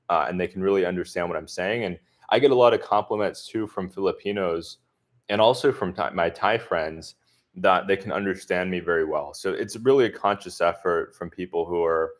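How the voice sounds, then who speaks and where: A man from the southern US answering viewers' comments in a relaxed livestream chat, speaking with a deliberately neutral accent and many filler words. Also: low voice. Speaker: expat vlogger answering live chat. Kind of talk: casual livestream Q&A.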